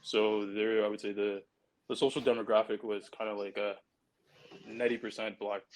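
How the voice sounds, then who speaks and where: Flat-voiced man. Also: lowish voice, poor audio. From Barbados. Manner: measured, searching for words. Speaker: track and field athlete panelist. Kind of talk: casual panel talk.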